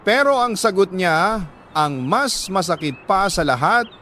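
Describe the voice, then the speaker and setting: A man with a Filipino accent reading a listener's letter as a radio drama host, in a sorrowful, deliberate storytelling manner with dramatic pauses. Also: high-pitched, lively intonation, sharp tone, slight background noise.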